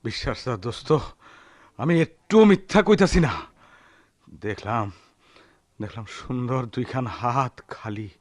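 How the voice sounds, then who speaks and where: A man's voice: medium pitch, animated, a little slow, long pauses, dry recording. An actor playing an impulsive, lovestruck village man in a radio drama, with a rural Bangladeshi accent and an impassioned, insistent delivery. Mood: dreamy. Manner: impassioned, pleading, repeats himself. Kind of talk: Bengali radio drama acting.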